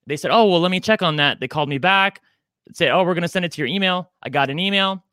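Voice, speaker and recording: A man's voice: high voice, fast talker, pushed and bright, dry recording, studio quality. A man in his forties co-hosting a podcast, talking in a chatty, campy, self-deprecating way. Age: 40s. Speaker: podcast co-host. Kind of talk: casual chat.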